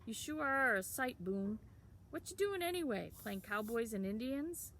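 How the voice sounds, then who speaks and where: Woman reader doing a brash teenage townie, higher than her narration, teasing and streetwise, with a little gravel. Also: very animated, a little slow, background noise. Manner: brash, slangy, drawling. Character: cocky teenage town boy, teasing and streetwise.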